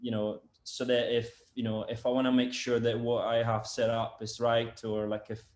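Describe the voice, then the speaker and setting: Guest: man, mid-pitch, loose rambling delivery full of fillers, echoey room. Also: monotone, normal speed. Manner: rambling, many fillers and restarts. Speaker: sound engineer and product developer, guest. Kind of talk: casual interview chat. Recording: roomy echo.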